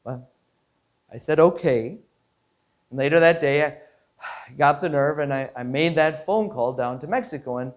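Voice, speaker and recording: A man, a pastor giving a devotional story in an earnest, measured way, sometimes repeating words as he goes. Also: mid-range voice. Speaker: Lutheran pastor.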